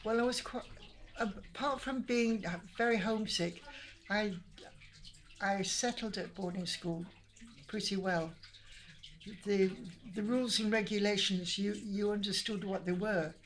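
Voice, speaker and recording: A woman's voice: mid-range voice, unhurried, low-quality recording. An older woman with a Lancashire accent, from Manchester, answering interview questions in a chatty, reminiscing way with frequent restarts. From Manchester, England. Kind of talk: casual interview chat.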